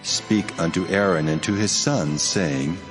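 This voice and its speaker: A deep, solemn divine voice, acted by a man, speaks in a dramatized scripture recording. Each command comes slowly and gravely. The low tone echoes through a long reverb, with soft music underneath.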